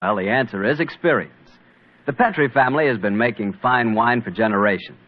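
A male announcer with a smooth, folksy, salesman-like manner, doing 1940s radio announcing. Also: mid-range voice, a little fast. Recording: muffled 1940s radio broadcast.

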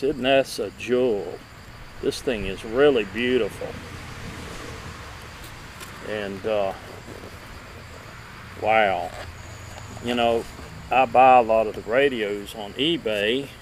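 A man in his fifties presenting a casual show-and-tell as a video host, halting and lingering over his words, recorded outdoors a few feet from the camera. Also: fairly low pitch, low-quality recording. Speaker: vintage radio collector and video host. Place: outdoor table in a wooded yard. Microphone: camera a few feet away. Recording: steady outdoor background noise.

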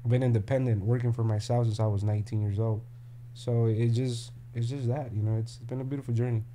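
A middle-aged man from Mexico, a record label CEO talking candidly in an interview in an office, blunt, with many fillers and restarts, into a studio mic on a boom arm. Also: flat delivery, fast talker, warm tone, slight background noise. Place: office room with desk and paintings.

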